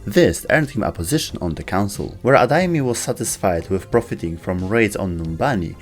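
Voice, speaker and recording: A man's voice: on the low side, animated, a little fast. A man reading scripted narration for a video game lore explainer. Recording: music under the voice. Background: music.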